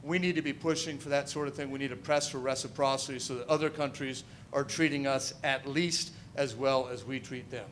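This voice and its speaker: A big-voiced man, speaking confidently through a handheld mic at an event hall. His voice sits in the middle range, with a faint rumble underneath. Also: fairly even intonation, fairly quick, hardly any pauses. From Missouri. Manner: loud, confident, says he has a big voice. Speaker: political candidate at a forum. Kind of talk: forum answers, public speaking. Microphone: handheld microphone.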